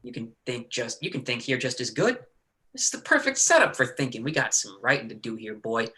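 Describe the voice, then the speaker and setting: A man playing a cocky, bullying hustler in a play reading, taunting in a folksy, rough-edged way. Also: medium pitch, animated, a little fast, pushed and bright. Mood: cajoling.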